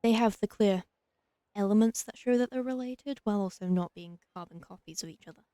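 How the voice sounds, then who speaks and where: Mellow, wry woman commenting on gameplay. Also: mid-range voice, fairly quick, dry recording. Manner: dry, wry asides. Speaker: let's play streamer. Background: game music.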